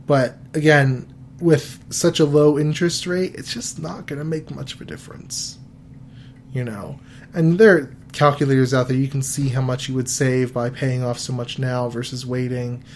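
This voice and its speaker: A man in his early thirties hosts a casual money podcast at a middle pitch. He talks in a loose, rambling way and keeps restarting his sentences. A faint hiss sits behind his voice. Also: moderate pace. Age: 30s. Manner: relaxed, rambling, with frequent fillers and restarts. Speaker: podcast host giving financial advice.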